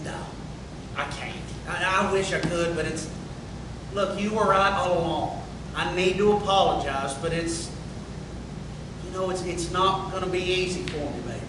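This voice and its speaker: Casting: male actor as ashamed working-class husband and father, brooding and anguished, high male voice, recorded far from the mic. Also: normal speed, lightly acted. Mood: tender, reluctant. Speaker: actor at a play table reading. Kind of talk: staged dramatic reading. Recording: echoey room from far off, noisy rumble.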